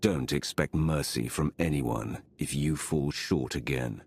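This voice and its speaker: A commanding male Sith lord in a game cutscene. His voice is extremely deep and heavily gravelled, with a husky rasp, and he speaks tersely and deliberately as a stern but supportive superior. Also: exaggerated voice. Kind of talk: dramatic video game cutscene acting.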